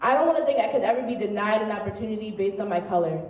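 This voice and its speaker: A woman's voice: mid-range voice, expressive, rapid-fire, a little mellow, distant mic, lo-fi sound. A young American woman delivering a theatrical monologue on stage in a dramatic, articulate manner. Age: young adult. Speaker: student spoken-word performer. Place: stage in a large room. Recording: echoey stage, picked up from afar.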